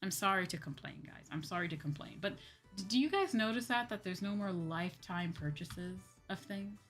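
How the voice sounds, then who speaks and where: A woman's voice: medium pitch, moderate pace, fairly bright tone, faint music behind. A woman livestreamer chatting casually and ranting in a dramatic, exasperated way, repeating phrases for emphasis. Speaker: livestreaming VTuber host.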